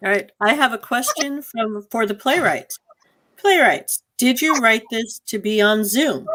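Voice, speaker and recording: A woman's voice: fairly high pitch, expressive, measured pace, fairly bright tone, poor audio. Woman hosting an online discussion. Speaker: theater moderator and host. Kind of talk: live question-and-answer discussion. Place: online video call.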